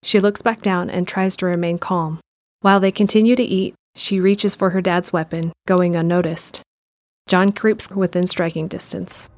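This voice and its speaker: Narrator, female: calm steady read, lowish pitch, faint music bed. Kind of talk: scripted drama narration.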